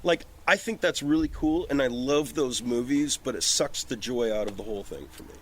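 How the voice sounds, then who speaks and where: A man's voice: medium pitch, a little fast, a little hiss or hum. Emphatic, sweary man in casual talk. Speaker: podcast guest, film director. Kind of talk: casual opinionated conversation. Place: outdoors on a porch.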